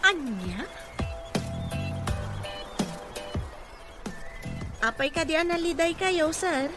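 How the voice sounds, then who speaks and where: A woman's voice: extremely high pitch, big pitch swings, moderate pace, over music. An actress with an Ilocano accent playing a mild, respectful female caregiver in a Filipino radio drama. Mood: worried. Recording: noisy background ambience.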